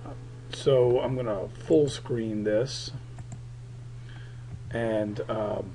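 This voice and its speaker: Raspy-voiced man teaching a recorded lecture. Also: on the low side, steady tone, dark tone, a little hiss or hum, poor audio. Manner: halting, many fillers, explanatory. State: raspy, recovering voice. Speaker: political science teacher.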